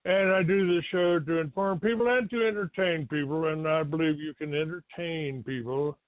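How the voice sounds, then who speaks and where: An older American man from Texas hosting a talk show, giving a rambling, halting monologue to his listeners. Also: a little high, fairly even intonation. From Texas, United States. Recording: roomy, tinny phone-like line.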